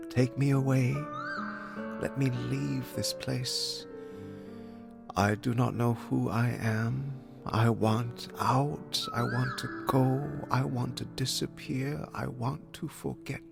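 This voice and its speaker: Tired melancholy musician, slower delivery, withdrawn, irritable, audio drama, music behind. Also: male voice, mid-range voice, gravelly. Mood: yearning. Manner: clipped, evasive, withdrawn. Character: weary, irritable male musician, leaving his country, evasive lover. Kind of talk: audio drama acting, monologue and domestic dialogue. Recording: music under the voice.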